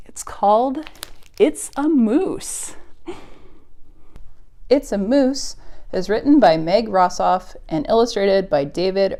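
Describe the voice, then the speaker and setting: A woman's voice: medium pitch, dark tone. A woman hosting a preschool storytime with songs, rhymes and reading, speaking in simple, encouraging phrases to small children. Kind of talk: preschool storytime with singing, rhymes and read-aloud.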